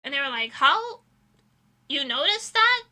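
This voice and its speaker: A woman's voice: high-pitched, sing-song, a little slow, pushed and bright. A young woman streamer chatting casually and telling rambling, informal, giggly anecdotes to her viewers. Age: young adult. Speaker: VTuber streamer. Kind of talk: casual livestream chat and storytelling.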